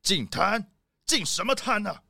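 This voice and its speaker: A man's voice: high voice, animated, unhurried, gravelly, pushed and bright, no room sound, studio quality, exaggerated voice. An actor playing a grumpy, self-important, suspicious rich father in a children's audio drama, blustering and complaining. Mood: irritated, dismissive.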